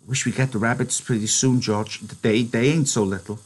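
A man voicing a slow-witted, gentle big farmhand who stammers and pleads like a child. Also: medium pitch, steady tone, pitched above the performer's own voice, lightly acted. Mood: pleading.

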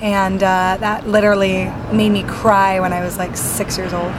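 A woman's voice: medium pitch, little pitch movement, moderate pace. Young woman, mid-range voice, bubbly and chatty, faint hiss behind. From Salt Lake City, Utah. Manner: bubbly, chatty, many fillers. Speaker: singer-songwriter guest. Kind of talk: casual interview.

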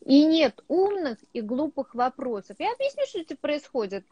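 A woman interviewing a guest, speaking in a lively, casual way and addressing viewers directly. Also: sits high, moderate pace, no echo, lo-fi sound.

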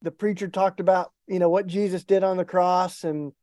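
A middle-aged man with an East Tennessee Southern accent, talking casually as an interview guest, folksy and relaxed, with many restarts and fillers. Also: sits high, normal speed, no echo, clean studio sound.

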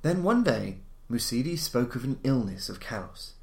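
A man's voice: mid-range voice, very animated, gravelly, a little mellow. Man narrating gothic tales in echoey room. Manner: formal, measured, dramatic storyteller delivery. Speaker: podcast host and narrator. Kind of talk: theatrical scripted reading of gothic horror stories. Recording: roomy, echoing voice recording.

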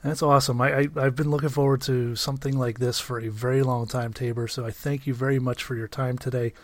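A man's voice: mid-range voice, level tone, quick pace, dry recording. A man hosting a podcast, upbeat and enthusiastic, who keeps addressing his listeners as guys. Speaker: podcast host.

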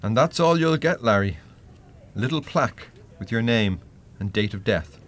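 An actor playing a wry, unsparing spirit guide in a radio play, with a measured, teasing, blunt manner. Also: male voice, medium pitch, lively intonation, natural voice. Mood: blunt, firm.

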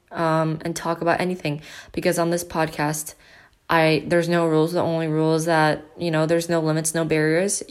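An eighteen-year-old woman hosting her own casual podcast from home, with a deep, loud voice, rambling with lots of fillers and restarts. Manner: rambling, filler-heavy, self-interrupting. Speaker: podcast host. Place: at home with family.

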